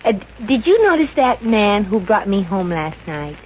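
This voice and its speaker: A sassy young working girl with snappy comebacks played by an actress and a lively, swinging delivery. She is wry and quick, in classic 1950s radio comedy style. The sound is muffled, with some old-broadcast noise. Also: medium pitch, lightly acted. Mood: excited, confiding. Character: wisecracking, dreamy young working-girl boarder, brassy and quick.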